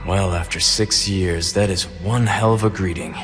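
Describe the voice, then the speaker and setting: A man voicing a gruff, weary government agent in a video game, with a terse, dry, understated manner. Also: deep voice, fairly even intonation, moderate pace, over music, natural voice. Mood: dry, wary.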